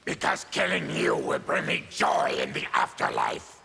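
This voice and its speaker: A man voicing a savage, diseased mutant warrior and bitter former soldier in a video game, with a gravelly, menacing, forceful growl. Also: rumbling low, huge pitch swings, measured pace, very noisy, exaggerated voice. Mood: vengeful. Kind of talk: video game fighting character dialogue.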